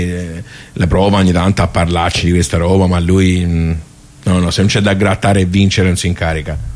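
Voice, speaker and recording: An actor with a Tuscan accent playing a plain-spoken village social club regular in a radio monologue, rambling and hesitant, repeating himself with chatty asides. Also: male voice, low voice, darkish tone, lightly acted. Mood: fond, admiring. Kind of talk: theatrical radio monologue, colloquial storytelling.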